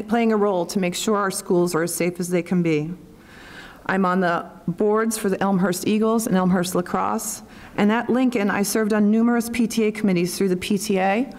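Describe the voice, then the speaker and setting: A woman's voice: mid-range voice, darkish tone. A middle-aged woman giving a prepared opening statement as a school board candidate at a public forum, reading steadily with a few stumbles.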